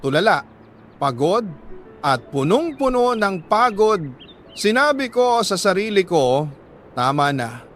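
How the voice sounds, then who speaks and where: A man with a Filipino accent reading a confessional letter as a radio storyteller, in an emotive, deliberate delivery with dramatic pauses. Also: sits high, very animated, fairly bright tone, faint music behind.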